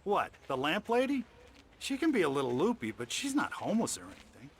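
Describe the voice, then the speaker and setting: High-pitched folksy trailer park man. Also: brisk, slight background noise. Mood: skeptical, chatty. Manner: folksy, animated, dismissive.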